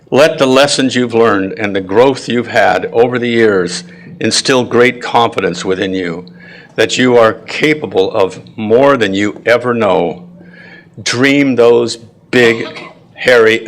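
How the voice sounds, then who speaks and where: A man giving a prepared school ceremony address with personal anecdotes, speaking in a deliberate, proud and encouraging way. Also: fairly low pitch, a bit bright. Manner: frequent throat clearing.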